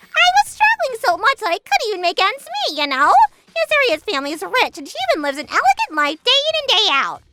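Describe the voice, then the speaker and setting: A woman's voice: extremely high pitch, very animated, quick pace, heavy background noise. A woman voicing a young woman who dodges her debts in a dubbed manga drama. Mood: whiny. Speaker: voice actor in a manga dub. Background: background music.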